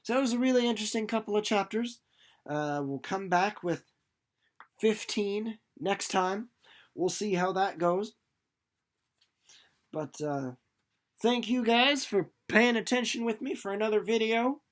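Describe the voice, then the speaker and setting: A man's voice: high voice, unhurried, pauses often. A young man giving a casual, informal book recap, playful and rambling off into asides. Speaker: book reader and presenter.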